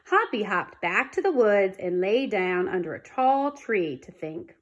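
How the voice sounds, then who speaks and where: A woman reading a children's storybook aloud in an expressive, dramatic manner. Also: mid-range voice, measured pace, lo-fi sound. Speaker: storybook reader.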